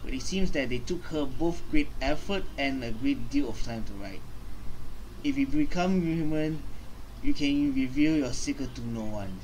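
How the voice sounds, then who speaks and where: A man's voice: medium pitch, animated, normal speed, darkish tone, a little hiss or hum, poor audio. A young man, a gaming streamer, reading game text aloud and casually commenting as he plays. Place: indoor room. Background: game music.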